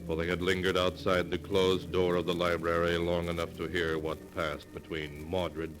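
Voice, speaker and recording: Man, 1940s radio narration, measured, deep monotone, clear music behind.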